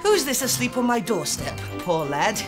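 A man's voice: very high-pitched, big pitch swings, moderate pace. A man playing silly, exaggerated characters in a children's pantomime. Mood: hammy, kindly. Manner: hammy, exaggerated. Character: silly pantomime player hopping between old gents, daughter and sultan. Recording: music behind the voice.

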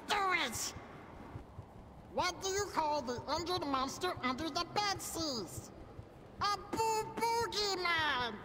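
A woman's voice: high voice, noisy background, full character voice. A woman voicing a cute, childlike little monster in a video game, talking in gleefully menacing baby-talk. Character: mischievous cute little childlike nightmare monster, playfully spooky. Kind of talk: video game character voice lines. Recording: game music behind the voice. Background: music.

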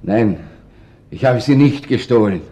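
An actor playing a sullen, obstinate employee under suspicion, answering tersely in a radio crime play. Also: male voice, mid-range voice, measured pace, warm tone, close to an everyday voice. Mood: denying.

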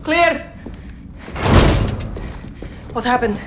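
A woman's voice: a little high, animated, extremely slow, over loud music. An actress playing a fierce young female rebel commander, firm and solemn, in game drama. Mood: defiant, intense. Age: young adult. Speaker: actor in a military game cutscene. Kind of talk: cinematic dramatic game dialogue.

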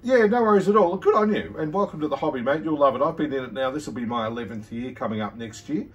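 A man's voice: a little high, lively intonation, quick pace, far from the mic. An Australian man chatting casually and encouragingly as an amateur radio operator, recorded indoors on a phone camera. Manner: friendly, encouraging. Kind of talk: casual ham radio chat. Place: indoor room at radio desk.

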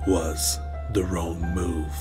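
A man playing a stoic, seasoned and calculating mentor in an audio drama, speaking in a measured way. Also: sits low, little pitch movement. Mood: stern. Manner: measured, unemotional. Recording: music clearly under the voice.

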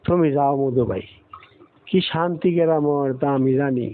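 A man's voice: on the high side, moderate pace, slight background noise, close to an everyday voice. Man as kindly senior servant, phone-like audio. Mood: reassuring. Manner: soothing, supportive. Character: kindly, reassuring long-serving male servant. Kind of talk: cartoon dialogue.

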